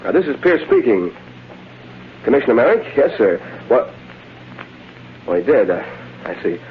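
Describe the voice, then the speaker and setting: A man's voice: medium pitch, very animated, moderate pace, soft background music, lightly acted. A man playing a crooked, bullying official in old-time radio drama style. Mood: nervous, deferential. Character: crooked menacing male highway official, cold and bullying. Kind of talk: 1930s-40s radio acting. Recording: tinny, noisy vintage radio recording.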